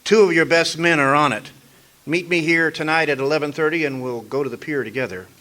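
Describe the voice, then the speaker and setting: A man's voice: a little high, fairly quick, bright, forceful tone. A man playing a cocky hard-boiled private detective in a comic old-time radio drama, slangy and sardonic. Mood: suave, wry. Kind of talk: 1940s-style comic radio detective acting.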